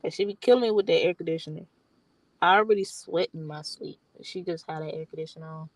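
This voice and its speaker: A woman's voice: low-pitched, fast, no room sound, rough recording. A tired, slightly stuffy woman, rambling casually in a late-night chat. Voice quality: soft voice. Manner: soft-spoken, rambling with restarts.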